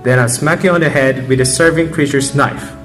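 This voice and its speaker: A man's voice: medium pitch, steady tone, fairly quick, a bit bright, close to an everyday voice. A man playing a brash, teasing, quarrelsome servant in an amateur student radio drama. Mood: taunting. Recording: music playing behind the voice.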